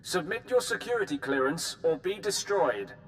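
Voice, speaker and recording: An officious imperial space station officer, formal and high-pitched for a man. A faint rumble runs under the game dialogue. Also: lively intonation, normal speed, low-quality recording. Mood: stern.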